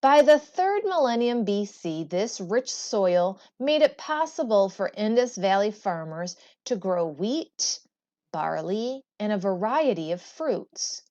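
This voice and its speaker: A woman teacher reading a textbook aloud in a deliberate, expressive way, with brief explanatory asides. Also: mid-range voice, dull audio.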